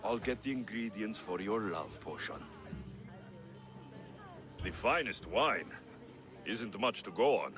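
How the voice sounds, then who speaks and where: A man's voice: on the low side, lively intonation, slow, pauses often, over music. A man playing a wry, skeptical mercenary warrior in a video game, with a dry, sardonic delivery. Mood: wry, resigned. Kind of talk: dramatic video game acting.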